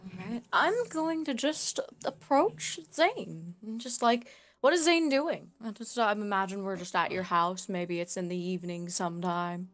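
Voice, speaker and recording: A woman's voice: medium pitch, animated, unhurried, few pauses, fairly bright tone. A woman chatting casually and roleplaying as a player in a tabletop game. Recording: music behind the voice.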